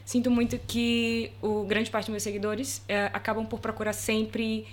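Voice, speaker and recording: A woman from Salvador, Bahia, with a Brazilian accent, a lawyer guesting on a casual podcast and chatting in a relaxed, anecdotal way. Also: a little high, normal speed. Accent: Brazilian Portuguese, Bahia. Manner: relaxed, chatty, laughs easily, tells anecdotes. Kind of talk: casual chat.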